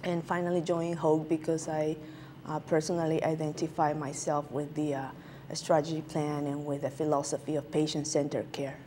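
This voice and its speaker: A woman from Peru, answering interview questions as a guest physician in a halting way with many filler sounds. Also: sits low, little pitch movement, mellow, slight background noise.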